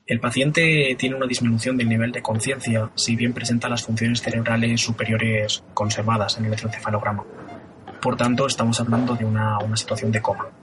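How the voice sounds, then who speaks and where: An actor playing a calm, formal intensive care doctor who speaks in clinical terms. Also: male voice, mid-range voice, a little fast, close to an everyday voice. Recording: noisy recording.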